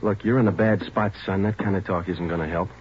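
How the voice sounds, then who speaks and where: Terse detective sergeant, male actor, deep and brisk, clipped delivery, old-time radio crime drama with dull sound. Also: a little hiss or hum, natural voice. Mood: stern. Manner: clipped, deadpan. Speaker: narrator and lead actor.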